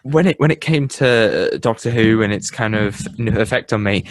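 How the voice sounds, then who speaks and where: A young man from the English Midlands with a Midlands accent, hosting a casual podcast chat, halting and full of fillers and restarts. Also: fairly low pitch. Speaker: podcast host. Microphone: headphones and home mic.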